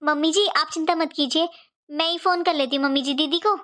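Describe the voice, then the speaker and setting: A young daughter-in-law in a cartoon family drama played by a woman, with a high-pitched voice. She is gentle and dutiful, always polite and deferential as she soothes her elders. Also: fairly even intonation, brisk. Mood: polite, explaining. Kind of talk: animated family drama dialogue.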